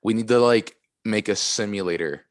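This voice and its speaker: A man's voice: on the low side, normal speed, smooth, dry recording, clean studio sound. A man co-hosting a podcast in laid-back casual chat, under the weather and losing his voice. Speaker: podcast co-host.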